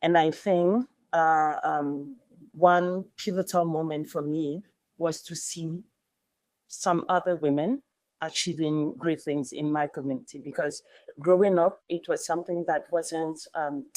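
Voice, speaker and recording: A woman from Togo, a panel guest who speaks thoughtfully and hesitantly, with many pauses and fillers. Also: low voice, fairly even intonation, darkish tone, no room sound, poor audio. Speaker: founding team member, panel guest. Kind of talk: reflective panel conversation.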